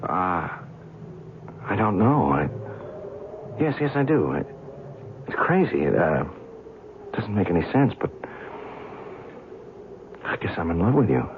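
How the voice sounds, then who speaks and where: Anguished, earnest romantic: very animated delivery, radio drama, thin sound buried in heavy noise. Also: male voice, low voice, full character voice. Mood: nervous, smitten. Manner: halting, emotional, incredulous. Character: earnest, lovestruck, bewildered and frightened man. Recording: narrow-band old radio, loud noise.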